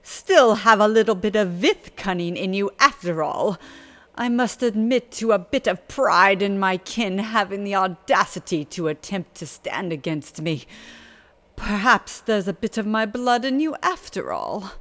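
A man putting on a shrill cruel old queen. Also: lively intonation, measured pace, sharp tone, full character voice. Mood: mocking. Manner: shrill and commanding.

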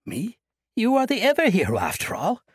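A man's voice: high voice, wildly swooping pitch, normal speed, slightly rough, dry recording, studio quality, pitched above the performer's own voice, full character voice. A man voicing an eccentric, cheerful old monk with a sing-song, scatterbrained manner. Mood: jovial.

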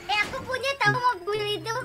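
A very young-sounding speaker chatting casually in a roleplay game. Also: very high voice. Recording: loud music over game voice chat.

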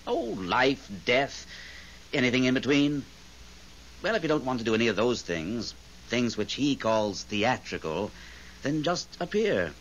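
An actor playing a wry, theatrical otherworldly guide to ghosts in a 1970s radio drama. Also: male voice, mid-range voice, big pitch swings, a little slow, a bit bright. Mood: wry instructing. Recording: dull, faintly hissy 1970s radio.

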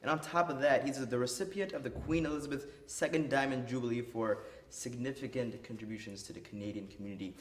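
A man's voice: mid-range voice, fast talker, hardly any pauses, a little hiss or hum. A young man hosting a student interview show, friendly and laid-back, with lots of affirming murmurs. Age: young adult. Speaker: interview host. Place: wood-paneled room by a fireplace, indoors. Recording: roomy room sound.

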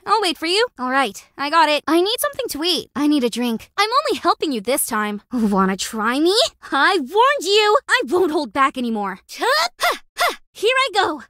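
A scrappy young stunt actress with an Australian accent, brash and teasing. The voice sits high and leaps around in pitch. The studio sound is dry and close, with no room in it. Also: female voice, fairly bright tone, no room sound. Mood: fierce. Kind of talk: game character voice lines. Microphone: close studio mic.

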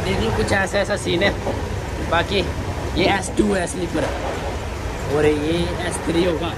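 A man's voice: sits high, steady tone, measured pace. A young man from the Jammu region chatting upbeat to his viewers as he films on a moving train with a handheld phone. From Jammu region, India. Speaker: travel vlogger. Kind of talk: casual vlog narration to viewers. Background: background music over train noise.

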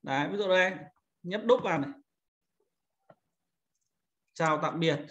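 A man instructing in a screen-recorded software tutorial, talking informally and pointing things out step by step as he demonstrates. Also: fairly high pitch, normal speed. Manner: informal, repeats pointing words while demonstrating.

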